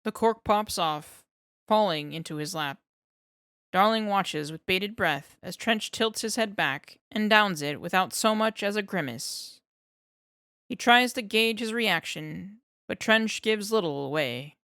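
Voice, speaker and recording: Woman reading fanfic aloud, steady and measured, dry close mic. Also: mid-range voice, clean tone, dry recording. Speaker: podfic reader. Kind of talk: reading fan fiction aloud.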